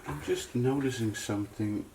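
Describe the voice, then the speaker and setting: A man's voice: mid-range voice, normal speed, gravelly, a little mellow, slight background noise, low-quality recording. A man giving a casual hands-on tutorial, thinking aloud to viewers as he works, in the manner of a repair video presenter. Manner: thinks aloud while working, talking to viewers.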